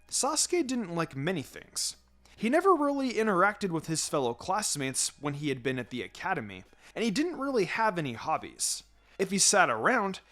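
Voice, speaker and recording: A man reading a story aloud as an expressive narrator. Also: on the high side. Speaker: fan fiction narrator. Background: background music.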